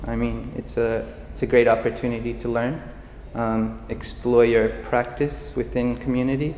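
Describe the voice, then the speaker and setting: A man, describing his arts project in a measured way in an interview. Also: medium pitch, flat delivery, darkish tone. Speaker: program graduate, sound artist. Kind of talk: interview testimonial. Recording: reverberant hall with faint hiss.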